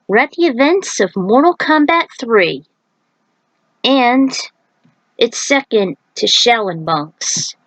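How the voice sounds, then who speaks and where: A woman's voice: a little high, a bit bright, no room sound, poor audio. A woman narrating fan fiction as its writer, in an informal amateur reading style with small stumbles and self-corrections. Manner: informal, stumbles over words and corrects herself. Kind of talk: amateur story reading with casual author commentary.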